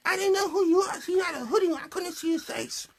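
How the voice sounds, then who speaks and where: Man doing a very high-pitched sassy girl puppet. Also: expressive, quick pace. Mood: recounting. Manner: sassy, colloquial, complaining. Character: chatty young girl puppet, sassy and easily fed up. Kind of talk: improvised puppet show storytelling.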